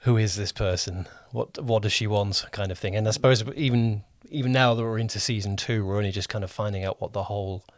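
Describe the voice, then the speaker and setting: A man's voice: lowish voice, fairly even intonation, fast, few pauses, dry recording. Male podcast host, dry close sound. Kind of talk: conversational interview.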